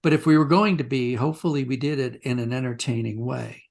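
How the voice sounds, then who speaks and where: A man's voice: mid-range voice, steady tone, quick pace, creaky, darkish tone. A man being interviewed as a writer, talking in a reflective, discursive way and often rephrasing mid-sentence. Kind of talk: conversational interview, reflective storytelling.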